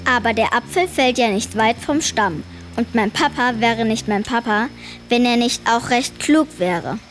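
Woman as a high-voiced, dry, defiant young girl. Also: unhurried, slight background noise, lightly acted. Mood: knowing, determined. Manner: dry, wry, precociously wordy. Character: defiant, clever ten-year-old girl narrating her family's story. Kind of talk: German children's radio play narration and dialogue.

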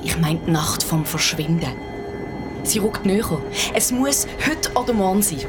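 A woman's voice: mid-range voice, expressive, normal speed, lightly acted. Actress as an intense woman, music swelling behind. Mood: eager, conspiratorial. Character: eccentric Swiss female passenger, eager, thrilled by eerie disappearances. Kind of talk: radio drama acting.

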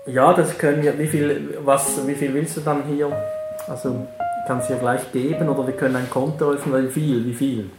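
A man acting as adviser in an informal, halting consultation. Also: mid-range voice, steady tone. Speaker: financial adviser. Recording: roomy echo under guitar music.

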